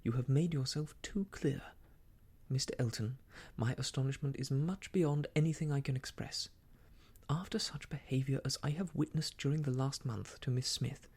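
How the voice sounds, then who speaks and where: A man voicing an indignant, proper young gentlewoman in a classic novel audiobook, formal, emphatic and reproving. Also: mid-range voice, breathy edge.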